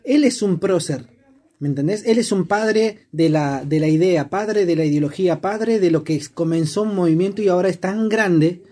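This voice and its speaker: A man's voice: high voice, moderate pace, dark tone. A man with an Argentine accent explaining his views in a casual discussion, reflective and asking rhetorical questions. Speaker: discussion participant.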